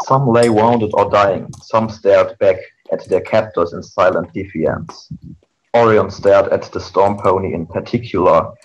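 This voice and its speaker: Low-voiced man narrating, dull noisy recording. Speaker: fan fiction reader. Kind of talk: dramatic amateur narration. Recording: dull, noisy amateur mic.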